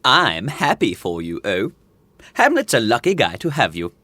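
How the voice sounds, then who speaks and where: An actor playing a loyal young best friend and dutiful son in a modern audio drama, dry and teasing. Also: male voice, medium pitch, huge pitch swings, moderate pace, sharp tone, dry recording, full character voice. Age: young adult.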